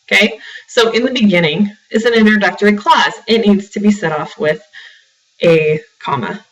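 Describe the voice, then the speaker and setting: A woman's voice: mid-range voice, moderate pace, distant mic, highs cut off. A woman writing instructor with a sore, slightly froggy throat, giving a recorded class lecture full of "um"s and repeated words. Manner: filler-heavy, repeats words when restarting.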